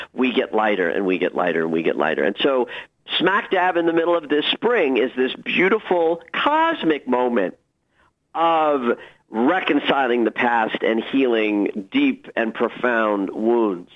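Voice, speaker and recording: A man speaking as a guest astrologer in a conversational radio interview, explaining things in an animated, emphatic way with expressive phrasing. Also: mid-range voice, lo-fi sound.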